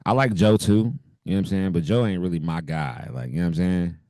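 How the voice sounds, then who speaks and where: This is a man with a very low, husky voice, hoarse from a cold, talking on a podcast. He chats loosely with plenty of slang and easy laughter. The sound is dry and close, with no room around it. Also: quick pace, a little mellow, no echo. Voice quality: deep voice. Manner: laid-back, laughs often, loose slang. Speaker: podcast co-host. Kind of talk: casual chat.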